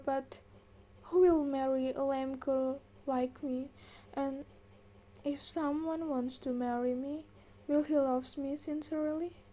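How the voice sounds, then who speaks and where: A woman plays a young storybook princess with a very high, mellow voice. She is gentle and self-doubting, and speaks slowly in a children's puppet-show tale. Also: slight background noise. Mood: doubtful, wistful.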